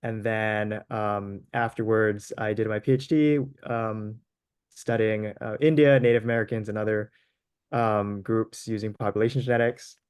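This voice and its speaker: A man in his thirties from Hawaii speaks in a lowish, smooth and resonant voice. He lectures over a video call in a halting way, full of ums and restarts. The sound is dry and close, with no room around it. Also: level tone, darkish tone, dry recording. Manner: hesitant, many ums and restarts. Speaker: guest lecturer.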